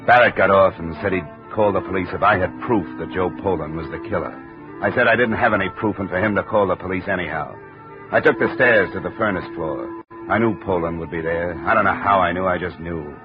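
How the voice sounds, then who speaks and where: A hard-boiled insurance investigator narrates his case in short, clipped lines, his voice low and deep, as an actor plays him. It sounds muffled and dull like an old radio broadcast, with music running clearly behind him. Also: a little fast, natural voice. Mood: grim. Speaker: lead and narrator. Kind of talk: 1950 radio drama acting.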